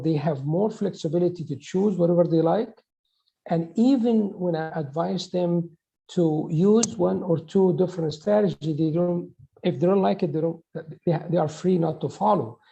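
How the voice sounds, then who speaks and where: A middle-aged man from Egypt, giving a conference talk in a halting way with restarts and fillers. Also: fairly high pitch, vocal fry, warm tone. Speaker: conference presenter, professor.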